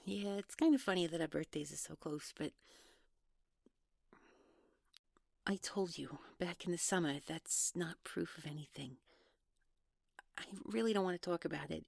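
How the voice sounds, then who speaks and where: A woman playing a guarded, awkward young woman in an audio drama, speaking naturally in a halting, hesitant way. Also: lowish voice, gravelly, a little mellow, no echo, clean studio sound. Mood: guarded, uneasy. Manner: hesitant, halting, trailing off, nervous laughs. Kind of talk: naturalistic one-sided audio roleplay acting.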